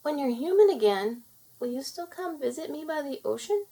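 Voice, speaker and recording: Woman doing a shy high-voiced young mermaid. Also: animated, normal speed. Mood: hopeful. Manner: soft, earnest.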